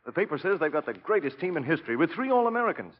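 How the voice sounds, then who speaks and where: An actor playing a wisecracking, sceptical young quarterback in a 1940s radio drama. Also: male voice, fairly high pitch, sing-song, very fast, slightly rough. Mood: breezy. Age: young adult. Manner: breezy, slangy, teasing. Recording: dull, hissy 1940 radio recording.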